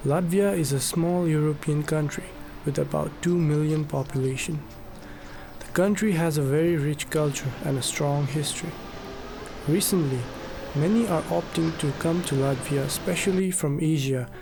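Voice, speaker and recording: A man reading scripted documentary narration in an earnest, measured way. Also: a little high, creaky, music in the background.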